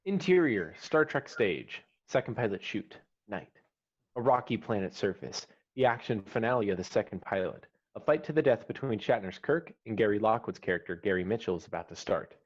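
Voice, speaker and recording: A man's voice: fairly low pitch, animated, a little fast. A man reading screenplay stage directions as the narrator of a scripted table read. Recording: slightly dull online call audio.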